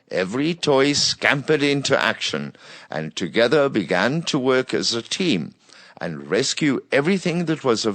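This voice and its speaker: Male narrator for children's bedtime tales. Low register, animated expressive reading, dry recording. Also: dry recording. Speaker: storyteller.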